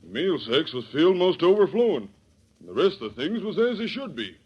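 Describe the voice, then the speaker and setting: An actor playing a gruff, blunt Old West stagecoach boss with an American accent and rustic speech, in 1930s radio western style. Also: male voice, fairly high pitch, sing-song, fairly quick, muffled recording. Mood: grim, explaining.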